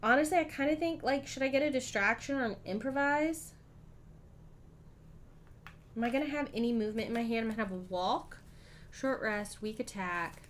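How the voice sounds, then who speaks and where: A woman streaming a solo board game, chatting casually and thinking aloud, with frequent ums and throat clearing. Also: on the high side, moderate pace, long pauses, a little hiss or hum. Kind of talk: casual live-stream play-through.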